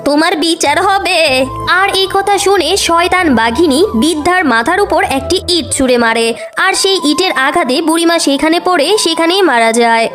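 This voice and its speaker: A woman's voice: very high voice, animated, fairly quick, fairly bright tone, soft background music. A woman reading scripted narration for a cartoon story.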